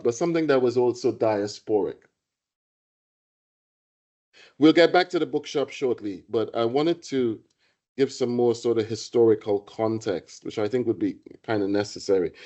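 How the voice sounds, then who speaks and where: A man delivers a public lecture in a mellow, unhurried voice. He speaks reflectively, circling back on phrases as he thinks. The recording sounds muffled and lo-fi. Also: mid-range voice. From Trinidad. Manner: reflective, halting with restarts and fillers. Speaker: guest lecturer, poet.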